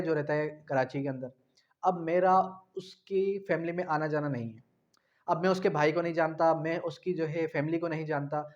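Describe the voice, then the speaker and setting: Higher-pitched man teaching, muffled sound. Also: a little mellow. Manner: didactic, repeats key phrases slowly, asks rhetorical questions. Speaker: law lecturer. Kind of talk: explanatory law lecture, mixing in English terms. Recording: dull, muffled lo-fi recording.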